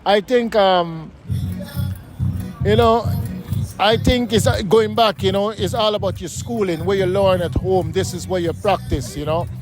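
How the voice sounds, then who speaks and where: Middle-aged Guyanese man, high voice, emphatic and repetitive, street interview with music behind. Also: unhurried. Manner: emphatic, repetitive, keeps asking "you know what I mean?". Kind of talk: street interview, casual talk. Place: outdoors on a city street.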